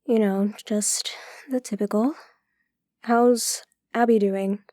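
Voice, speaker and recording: A woman plays a wounded, guarded woman in an audio drama. Her voice is mellow and middle-pitched, with a curt, sardonic edge. The recording is close and dry. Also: fairly even intonation, slow, dry recording, close to an everyday voice. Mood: evasive, light. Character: guarded, sharp-tongued woman hurt by her cheating ex.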